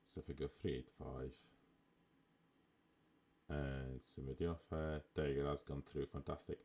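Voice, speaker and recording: A man with a raspy voice, chatting casually as a live streamer while he plays a management game. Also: a bass voice, normal speed. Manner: relaxed, chatty, with fillers. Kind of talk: casual live gameplay commentary.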